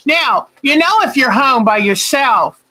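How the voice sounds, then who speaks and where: A woman, hosting a quilting bingo game and calling each clue twice, slowly and deliberately. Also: sits high, expressive, background noise. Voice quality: big voice.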